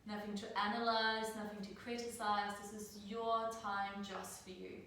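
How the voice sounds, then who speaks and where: A middle-aged woman from Denmark leading a guided yoga class over a live video call, speaking in a soft, soothing way with slow, drawn-out cues. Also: mid-range voice. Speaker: yoga teacher. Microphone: laptop mic at a distance. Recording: very echoey room, distant mic, faint noise.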